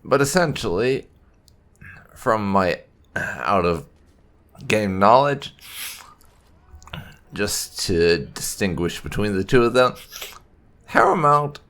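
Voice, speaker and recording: A man hosting a video game playthrough, explaining things in halting, unscripted commentary as he thinks aloud. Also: lowish voice, animated, fairly bright tone. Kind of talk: unscripted let's-play commentary.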